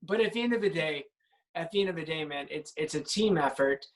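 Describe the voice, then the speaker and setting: A young man, a band's singer with a high voice for a man, talking casually and animatedly in an interview, often restarting his sentences. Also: animated, a little fast. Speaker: band singer.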